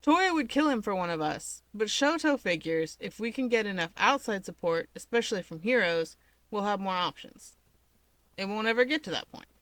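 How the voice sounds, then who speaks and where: A woman's voice: mid-range voice, expressive, normal speed, fairly bright tone, no room sound, close to an everyday voice. A woman voicing an easygoing young man who explains things in a breezy, dismissive and cheerfully nonchalant way. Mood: casual, reassuring.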